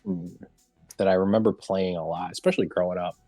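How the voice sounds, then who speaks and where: A man chatting casually as a panelist in a group discussion, often pausing as he searches for what to say. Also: sits low, steady tone, dark tone. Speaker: round table panelist. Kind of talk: casual group discussion about games and films. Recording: roomy echo, music underneath.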